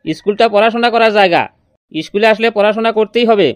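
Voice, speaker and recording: A man's voice: sits high, quick pace, clean tone, no room sound, lightly acted. A man voicing a stern, hot-tempered village schoolteacher in a comedy cartoon, scolding and exasperated.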